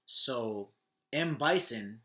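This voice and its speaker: A man chatting casually on a podcast, animated and repeating phrases for emphasis in loose back-and-forth talk. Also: medium pitch, drawn-out words. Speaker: podcast co-host or guest. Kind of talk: casual podcast chat about video game movies.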